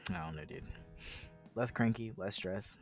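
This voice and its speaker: Casual male co-host, lowish voice, reading visual novel narration with informal interjections, music faintly behind. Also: a little slow. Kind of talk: casual read-aloud with side commentary.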